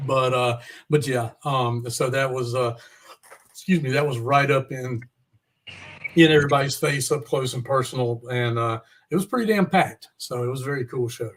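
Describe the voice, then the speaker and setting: A man's voice: mid-range voice, normal speed, a little hiss or hum. A man hosting an informal interview, chatting casually with an easygoing use of slang and the odd swear word. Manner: informal, mild swearing, y'all. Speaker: podcast host interviewing a musician. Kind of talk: casual chat.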